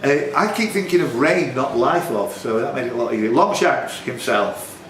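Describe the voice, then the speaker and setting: A man's voice: mid-range voice, lively intonation, normal speed, a crowd in the background. A man hosting a live pub quiz, reading questions and scores with bantering, self-deprecating, hesitant asides. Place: pub function room, indoors. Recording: roomy pub sound with background noise.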